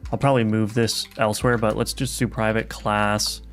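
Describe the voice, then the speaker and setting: A man's voice: fairly low pitch, little pitch movement, moderate pace. A man streaming live as a game developer, talking casually and thinking aloud with many fillers and restarts while he codes. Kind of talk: live coding stream, reading chat. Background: soft lofi music.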